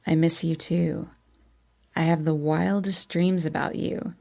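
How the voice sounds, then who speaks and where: A woman doing a hushed, murmuring young man. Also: low voice, flat delivery, unhurried, gravelly, full character voice. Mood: intimate. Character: young adult man, tender and low-voiced.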